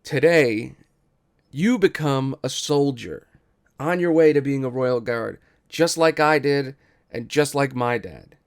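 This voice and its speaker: A man's voice: mid-range voice, slow pace, clean tone. A man voicing a proud, stern father and former royal guard in a table read, with emphatic delivery. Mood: proud, hearty. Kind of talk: table read of a musical script.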